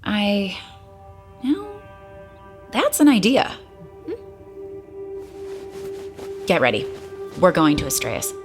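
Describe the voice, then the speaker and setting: A woman's voice: medium pitch, wildly swooping pitch, slow, long pauses, soft background music. A woman playing a troubled, idealistic young woman in an audio drama, guarded, weary and prickly. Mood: resolved.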